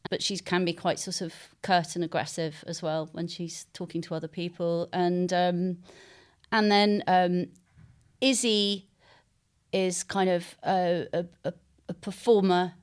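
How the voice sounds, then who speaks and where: Softly even-toned woman, low and hesitant. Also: unhurried, clear, resonant tone. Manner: hesitant, thoughtful, searching for words. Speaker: interviewed novelist. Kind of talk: conversational literary interview.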